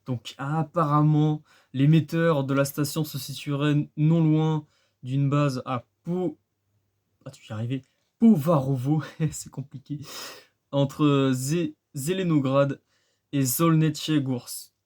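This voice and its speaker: A man's voice: fairly high pitch, steady tone, takes it slowly, a little mellow. A man giving a casual explainer, conversational, with rhetorical questions and phrases repeated for emphasis. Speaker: presenter of a radio-hobby video.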